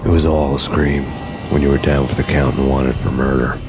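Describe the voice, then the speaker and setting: An American actor playing a world-weary wanted cop, narrating in a brooding, deadpan hardboiled noir style. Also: male voice, very deep voice, brisk. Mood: grim, brooding. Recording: music behind the voice.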